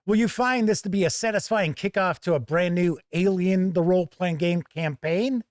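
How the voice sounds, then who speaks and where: Higher-pitched man hosting a dry-sounding review. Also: normal speed, no echo. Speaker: host and reviewer of a tabletop gaming channel. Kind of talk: conversational product review to camera.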